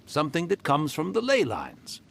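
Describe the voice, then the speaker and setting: A man's voice: a little high, huge pitch swings, moderate pace, vocal fry, fairly bright tone, a little hiss or hum, exaggerated voice. A man voicing a mischievous old male fox spirit in a video game, theatrical and boastful. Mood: teasing. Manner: theatrical, hammy villain voice, then genial and teasing. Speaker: yokai spirit character.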